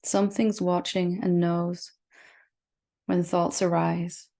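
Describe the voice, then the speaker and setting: A woman leading a guided meditation as a spiritual teacher, speaking gently and reassuringly with long, unhurried pauses. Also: fairly low pitch, little pitch movement, vocal fry.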